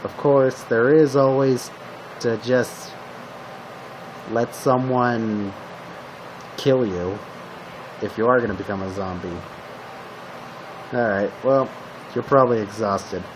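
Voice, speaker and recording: A man plays a male zombie apocalypse survivor passing on tips in an improvised roleplay. His voice sits at an ordinary pitch and he talks in a conversational, matter-of-fact way, often halting and repeating himself. A clear steady noise runs behind the homemade recording. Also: close to an everyday voice. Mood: grave, matter-of-fact, then casual, caring. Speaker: roleplay video host. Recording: steady background hum, noisy home recording.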